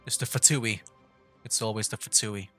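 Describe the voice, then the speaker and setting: A man's voice: lowish voice, fairly even intonation, moderate pace, sharp tone. A man streaming a story game, chatting casually and reading the on-screen text aloud, rambling and cutting himself off. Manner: rambling, self-interrupting, laughs at himself. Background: game music.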